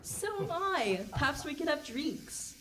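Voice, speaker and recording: A woman's voice: high voice, very animated, unhurried, pushed and bright, heavily acted. A woman playing a cool, confident young private investigator with a dry manner, in a comic radio play on stage. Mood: confident, curious. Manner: dry, matter-of-fact. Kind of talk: comic radio play before a live audience. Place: hall stage with a live audience. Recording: distant stage pickup with some noise.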